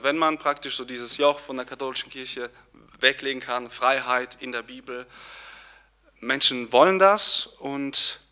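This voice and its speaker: A young man from Poland, giving an earnest personal testimony with pauses and restarts. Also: medium pitch, pushed and bright. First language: Polish. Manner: earnest, searching, with fillers and restarts.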